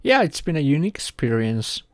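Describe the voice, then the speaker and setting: A man's voice: on the high side, big pitch swings, fairly quick, no room sound, clean studio sound. A man in a casual roleplay chat, speaking in a playful, teasing manner.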